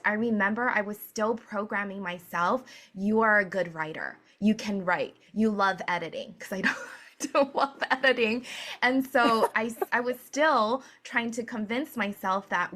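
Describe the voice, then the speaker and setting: A woman from Hawaii, a business coach and author, telling her story in an energetic, earnest way and laughing often. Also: on the high side. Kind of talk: conversational interview answers.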